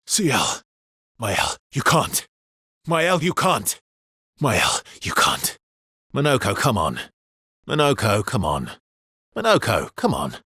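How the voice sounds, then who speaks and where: A man voicing a weary, battle-hardened immortal swordsman in a video game, with a husky, breathy voice and terse, intense, dramatic delivery. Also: medium pitch, wildly swooping pitch, slow, pauses often, pushed and bright, no echo, studio quality, exaggerated voice. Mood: desperate. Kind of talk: video game combat barks.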